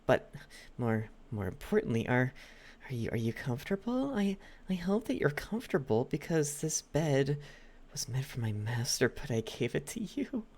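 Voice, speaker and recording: A performer playing a clingy, possessive yandere demon butler in an intimate ASMR roleplay, coaxing in halting, repeated words that slip into breathy whispers. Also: medium pitch, big pitch swings, darkish tone. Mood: coy, possessive.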